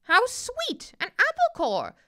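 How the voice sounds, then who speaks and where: A woman's voice: very high voice, huge pitch swings, takes it slowly, sharp tone, pitched above the performer's own voice, exaggerated voice. A woman voicing a kindly, fussy, motherly female jay in a squawking, exclaiming storybook voice. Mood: delighted, greedy. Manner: exclaiming, fussing. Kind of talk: children's storybook character voice.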